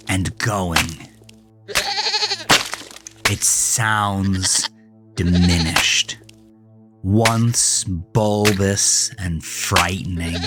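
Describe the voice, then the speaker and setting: Slow, brooding man acting a haunted horror narrator. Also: sits low, full character voice. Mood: haunted, subdued. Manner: intense, brooding, deliberate, profane. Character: haunted, rage-filled man retelling a teenage horror. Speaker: narrator of a horror audio drama. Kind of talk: dramatic first-person horror narration. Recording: music bed under the voice.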